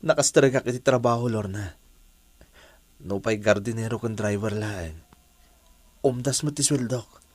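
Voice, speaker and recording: A man with an Ilocano accent playing an earnest, repentant family man who pleads gently in a radio drama. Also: on the low side, measured pace, pauses often, dry recording. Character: repentant, earnest adult man wooing his estranged family. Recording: dry studio sound, music behind.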